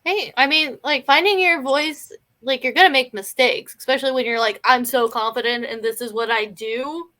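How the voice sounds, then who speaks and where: Woman, fairly high pitch, bright forceful tone, casual panelist, informal phrasing. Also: normal speed, hardly any pauses. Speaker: film review panelist. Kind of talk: casual chat.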